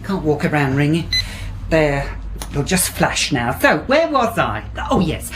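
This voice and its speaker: A slick, pushy estate agent. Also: male voice, fairly high pitch, sing-song, unhurried, background noise. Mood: salesy. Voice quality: salesy, breezy patter. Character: smooth-talking, pushy male estate agent. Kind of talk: radio drama acting.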